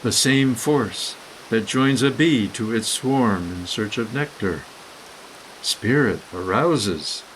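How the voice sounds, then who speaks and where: A man reading his own scripted meditative prose poem in a solemn, deliberate manner with dramatic phrasing. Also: fairly low pitch, lively intonation, a little hiss or hum, poor audio. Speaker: author reading own essay.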